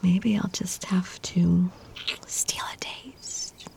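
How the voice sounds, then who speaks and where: A woman speaking in a soft, breathy whisper with teasing murmurs, performing an intimate audio roleplay. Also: on the low side, steady tone, very slow.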